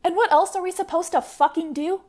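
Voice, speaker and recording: A woman's voice: extremely high pitch, fairly even intonation, a little fast, darkish tone. A woman playing a fiery, sarcastic female revolutionary in a radio play, with a mocking, indignant delivery. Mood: sarcastic, angry.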